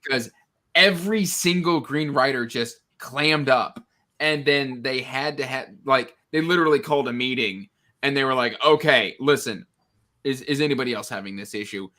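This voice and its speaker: A man's voice: medium pitch, normal speed, pushed and bright. A man in a casual book discussion, speaking with exasperated emphasis and stammering, repeated restarts.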